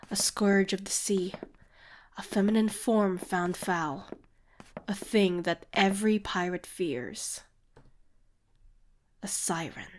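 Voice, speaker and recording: Female showwoman, acted for fantasy audio drama: theatrical tour guide, grand salesmanlike delivery, dramatic pauses. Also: mid-range voice, a little hiss or hum, close to an everyday voice. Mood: hushed, ominous. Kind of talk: scripted fantasy audio roleplay.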